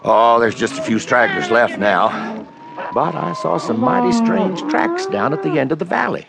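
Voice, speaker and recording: An actor playing a folksy, excitable cowboy in a western radio play, with a drawling American Western accent. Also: male voice, fairly high pitch, normal speed, gravelly, noisy background. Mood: intrigued. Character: bald, folksy, excitable old-hand cowboy.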